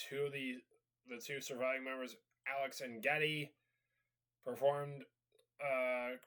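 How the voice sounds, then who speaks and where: A man's voice: medium pitch, a bit bright. Sniffly man rambling through a casual rant. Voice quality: slightly raspy. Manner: rambling, with many fillers and repeated "so yeah". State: recovering from a cold, sniffly. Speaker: video host. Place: at home.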